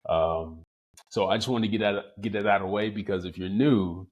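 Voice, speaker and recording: A man's voice: fairly low pitch, fairly even intonation, normal speed, dull audio. A man with an American accent hosting a conversational podcast interview. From small town in the United States, outside Los Angeles. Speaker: podcast host interviewing a guest.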